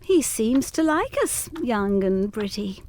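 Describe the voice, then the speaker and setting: A woman playing an earnest young governess in a gothic period audio drama, speaking in formal, measured diction. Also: mid-range voice, big pitch swings, darkish tone. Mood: probing. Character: young pretty governess, earnest, questioning, protective.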